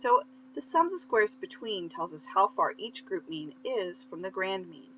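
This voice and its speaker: Female instructor, crisp forward tone, patient stepwise lesson delivery, dull recording with faint background hum. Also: medium pitch, big pitch swings, normal speed, a bit bright. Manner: step-by-step explaining with check-in questions. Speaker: statistics lecturer. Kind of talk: conversational instructional explanation of slides. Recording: muffled lo-fi audio with faint hum.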